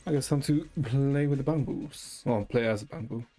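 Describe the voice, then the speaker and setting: A man's voice: mid-range voice, normal speed, mellow. A man giving casual gameplay commentary, muttering short reactions to himself as he goes. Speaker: game streamer. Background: game music.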